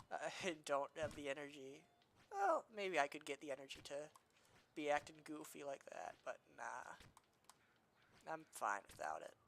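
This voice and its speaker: A man's voice: fairly high pitch, slight background noise. A young man streaming a game, chatting casually in a rambling, self-deprecating way with many fillers, his voice slightly nasal from allergies. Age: young adult.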